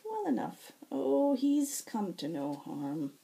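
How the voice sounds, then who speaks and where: A woman acts out a scaled male fae nursemaid from a fantasy storybook. He speaks softly and formally, with weary patience, lifted in pitch over a mellow tone. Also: wildly swooping pitch, takes it slowly, creaky, full character voice. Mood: weary explaining. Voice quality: soft voice. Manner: polite, formal phrasing. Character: weary green-scaled male fae caretaker, soft-spoken, long-suffering.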